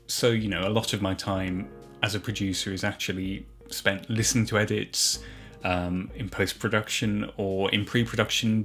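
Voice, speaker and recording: Low-pitched man chatting from a home office. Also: bright, forceful tone. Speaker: producer and director. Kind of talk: informal talk to camera.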